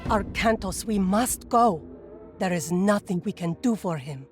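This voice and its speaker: A woman's voice: mid-range voice, animated, a little slow, music in the background, close to an everyday voice. An actress playing a decisive, confident woman warrior in video game cutscenes. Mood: urgent. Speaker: game character.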